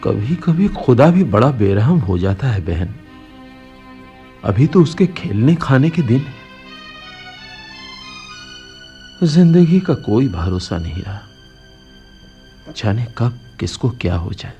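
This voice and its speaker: An actor playing a kindly, concerned middle-aged postman in a Hindi radio play, speaking gently and coaxingly. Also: medium pitch, very animated, takes it slowly, dull audio, soft background music. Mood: shocked sympathy.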